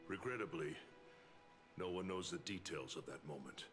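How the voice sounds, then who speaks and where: A man voicing a grave, formal lord of a city guard in a video game, speaking in a measured way. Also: sits low, close to an everyday voice. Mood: grave, regretful. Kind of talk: dramatic video game acting. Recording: loud game music underneath.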